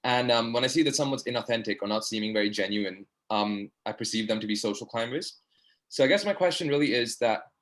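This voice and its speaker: A man's voice: on the low side, a bit bright. A young man, a medical student, earnestly asking a long, rambling question over a video call, full of fillers. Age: young adult. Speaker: audience participant, medical student. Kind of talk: online discussion question.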